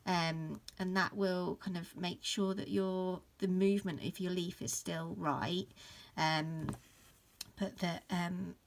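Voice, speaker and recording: A woman in her thirties with a croaky voice from hay fever, casually talking through an embroidery tutorial at home, full of ums and restarts. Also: lowish voice, slow pace. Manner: relaxed, many fillers and restarts. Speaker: embroidery teacher. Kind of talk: casual stitch-along tutorial. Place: upstairs room at home.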